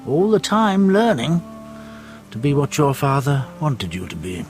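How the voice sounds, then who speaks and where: An actor in a radio drama playing a reflective companion prompting memories, in slow, hushed storytelling. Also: male voice, medium pitch, huge pitch swings. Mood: reflective, sombre. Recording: radio drama under loud music.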